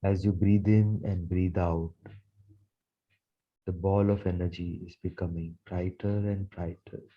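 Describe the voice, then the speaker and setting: A man leading a guided meditation in a soothing, measured manner, repeating phrases as he goes. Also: low voice, flat delivery, dull audio. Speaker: guided meditation leader, Reiki teacher.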